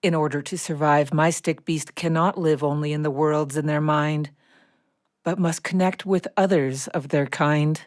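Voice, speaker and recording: An actor voicing a predatory snake in an audio play, speaking in deliberate, menacing declarations. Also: mid-range voice, steady tone, close to an everyday voice. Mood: cold, predatory. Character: predatory snake watching over its human.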